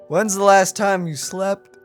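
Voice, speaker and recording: A man's voice: high voice, unhurried. A man co-hosting a let's play, teasing and joking in casual banter. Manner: jokey, deadpan teasing. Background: game music.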